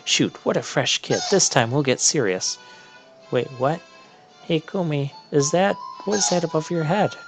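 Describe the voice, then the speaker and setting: A man's voice: a little high, animated, moderate pace, voice pushed up. A man voicing peevish, bickering elderly witch sisters from a video game. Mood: peevish, bewildered. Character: bickering elderly female witch twins, villainous. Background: video game music.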